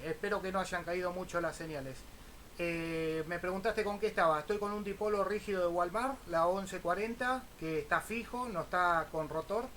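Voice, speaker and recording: A man's voice: high voice, sharp tone. A man with a Buenos Aires Spanish accent, chatting casually as an amateur radio operator. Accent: Buenos Aires Argentine Spanish. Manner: spells call signs in phonetic alphabet. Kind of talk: casual ham radio contact. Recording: faint noise behind voice.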